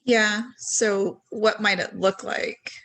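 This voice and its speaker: A woman's voice: medium pitch, fairly even intonation, slow, fairly bright tone. A woman talking on a discussion panel as a parent, casual and conversational, with many ums and a voice that trails off at the ends of thoughts.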